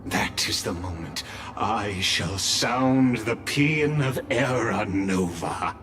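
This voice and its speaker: An actor playing a solemn, imperious male villain in a video game, with a measured, portentous manner. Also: lowish voice, animated, fairly bright tone, a little hiss or hum. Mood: solemn triumph. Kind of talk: dramatic English game voice acting.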